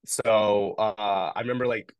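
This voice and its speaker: Laid-back low-voiced young man. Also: a little slow, no echo. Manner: relaxed, rambling, many fillers like "um" and "like". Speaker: podcast guest, founder of a food retailer. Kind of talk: casual interview conversation.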